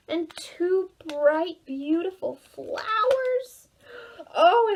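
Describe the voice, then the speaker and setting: Woman voicing a high, gushing hungry goat. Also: slight background noise, exaggerated voice. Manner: gushing, savouring each word. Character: hungry, delighted goat who eats everything.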